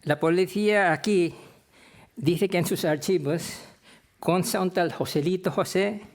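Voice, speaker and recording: An older man from the Philippines, a theology professor, giving a prepared talk of career anecdotes in a halting, hesitant way with frequent pauses. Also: high voice.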